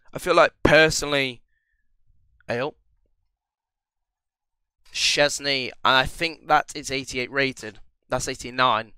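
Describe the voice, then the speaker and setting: A man's voice: medium pitch, sharp tone, clear, resonant tone, dry recording. A young man with a cold giving rambling, casual commentary over a football game, full of ums and restarts. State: recovering from a cold. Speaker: gaming channel host.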